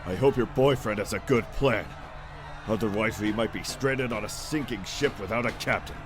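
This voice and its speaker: A man voicing a gruff, hot-tempered military commander barking orders in an audiobook. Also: lowish voice, normal speed, music in the background, natural voice. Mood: grumbling. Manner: shouting, commanding, grumbling. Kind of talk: audiobook character acting.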